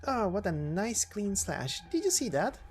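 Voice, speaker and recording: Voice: mid-range voice, big pitch swings, a little slow, pitched above the performer's own voice. An amateur voice actor playing a cocky, reckless young female mercenary with a taunting, flippant manner in a game story. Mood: gleeful, flippant. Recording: music under the voice.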